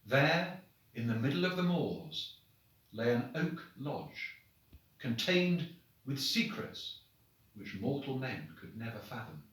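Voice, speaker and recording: A man reads short stories aloud like a headmaster addressing his pupils. His delivery is expressive and animated, with mid-range pitch and deliberate, dramatic phrasing. The room is strongly reverberant and he sounds set back from the microphone. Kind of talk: expressive storybook reading to children. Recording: very echoey room, distant microphone.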